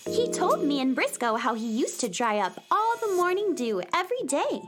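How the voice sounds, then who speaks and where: Very high, bouncy little girl; eager, chirpy, loves to sing. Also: very animated, normal speed, soft background music. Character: Mary Farfisa, a cheerful singing little girl. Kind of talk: audio drama acting.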